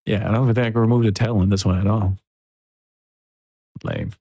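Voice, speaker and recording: A man mixing music on a livestream, thinking aloud in a soft, relaxed, casual way. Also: on the low side, normal speed, vocal fry, slightly rough, no room sound. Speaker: music producer streaming a mixing session. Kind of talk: casual livestream commentary while working. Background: faint music from the mix being worked on.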